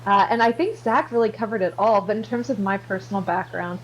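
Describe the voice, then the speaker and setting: A woman's voice: medium pitch, brisk, low-quality recording. American woman guest, faint background hum. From Virginia, United States. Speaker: interview guest, company co-founder. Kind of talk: conversational interview.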